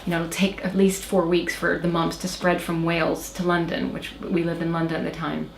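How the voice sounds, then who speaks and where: A woman's voice: fairly low pitch, flat delivery, a little fast, gravelly. A woman in her thirties from Oklahoma, American with a slight Irish lilt, telling a personal story hesitantly, with many fillers and restarts. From Oklahoma, USA. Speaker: interview guest. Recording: roomy echo, faint background noise.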